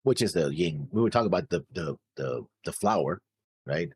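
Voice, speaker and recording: A man co-hosting a reaction show, chatting casually in a halting, rambling way. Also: sits low, animated, darkish tone, husky, dry recording, studio quality. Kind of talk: casual chat.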